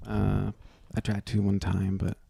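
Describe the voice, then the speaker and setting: A man's voice: on the low side, monotone, measured pace, vocal fry, warm tone, a little hiss or hum. A man co-hosting a podcast, tossing out sarcastic, casual comedic remarks. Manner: sarcastic, profane, interjecting. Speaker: podcast co-host.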